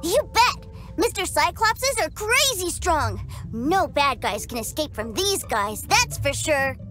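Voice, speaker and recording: Woman doing a little kid all hyped about toys, high bouncy voice, music behind. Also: slow, exaggerated voice. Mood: eager. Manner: excited, bossy, childish. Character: eager little boy, toy-obsessed and stubborn. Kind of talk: game cutscene voice acting. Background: game music.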